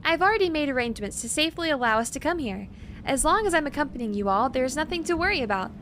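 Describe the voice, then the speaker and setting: A woman's voice: sits high, lively intonation, fast, a bit bright, slight background noise. A woman playing an earnest, bookish young woman archaeologist in an audio drama, speaking politely, precisely and eager to explain. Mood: reassuring.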